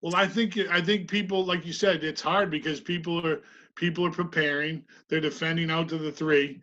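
A woman's voice: deep voice, fairly even intonation, moderate pace. A middle-aged woman, a basketball coach from Massachusetts, talking thoughtfully as a podcast guest with frequent fillers and easy laughs. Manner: thoughtful, lots of "you know" fillers, warm laughs. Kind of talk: casual podcast conversation. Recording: dull lo-fi sound.

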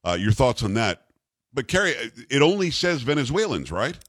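A man's voice: medium pitch, very animated, moderate pace, a bit bright, slightly rough, dry recording, studio quality. An American man hosting political talk radio, giving opinionated commentary in an emphatic, incredulous and sarcastic manner. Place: radio studio.

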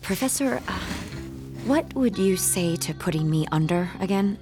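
A young woman with a fairly low voice played by an actress speaks as a troubled, searching artist. The delivery is natural audio-drama dialogue, earnest and probing, with music clearly playing behind it. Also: expressive, normal speed. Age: young adult.

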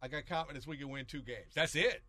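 A man in his sixties co-hosting a sports podcast, chatting casually in an animated, folksy way with slang. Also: fairly high pitch, quick pace, no echo. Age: 60s.

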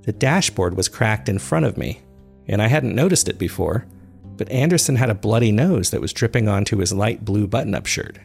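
Low, gravelly man narrating a story. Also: lively intonation, brisk, a little mellow, faint music behind. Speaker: narrator of a serial fiction podcast. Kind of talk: scripted first-person dramatic narration.

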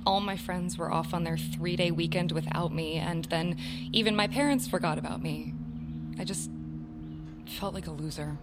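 Actress as a low, subdued grieving young woman. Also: moderate pace, very noisy, close to an everyday voice. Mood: guilty, subdued. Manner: hesitant, sighing, subdued. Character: grieving, guilt-ridden, guarded young woman in therapy. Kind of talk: naturalistic audio drama acting.

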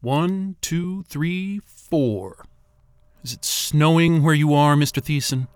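Excitable, high-voiced spaceship crewman. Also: slow, clean tone, no echo, studio quality. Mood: wry. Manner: sarcastic, profane, blurting. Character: irritable, excitable male station crewman. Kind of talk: science-fiction audio drama acting. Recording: music bed behind the voice.

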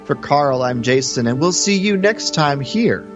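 A man's voice: a little high, expressive, measured pace, highs cut off, over loud music. A man co-hosting a podcast, talking through film in a casual, conversational way with hesitant, hedged phrasing. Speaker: podcast co-host.

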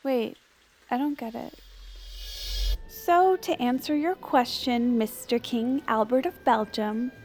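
A woman's voice: fairly high pitch, slow, a little mellow, soft background music. A woman playing a chatty, dim socialite who narrates with gossipy, girlish phrasing in a comedic audio drama. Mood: chatty, oblivious. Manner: gossipy, girlish valley-talk. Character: perky, dim, chatty female socialite storyteller.

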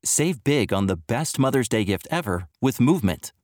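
Animated man reading an ad, dry sound. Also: mid-range voice, normal speed, no echo. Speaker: advertisement announcer. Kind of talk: scripted ad read.

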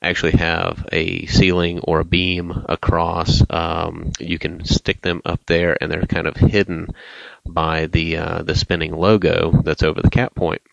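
A man giving a casual gameplay tip as a listener contributor, halting as he goes, on a dull, dry lo-fi recording. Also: sits low, monotone, vocal fry, fairly bright tone, dry recording.

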